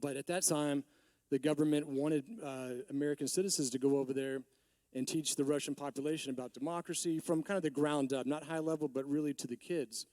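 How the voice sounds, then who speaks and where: A man in his forties from Texas, a business owner giving a rambling conference talk with many restarts, his voice a little hoarse from allergies. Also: on the high side, steady tone, brisk, a little mellow, no echo. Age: 40s. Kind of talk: conference speech.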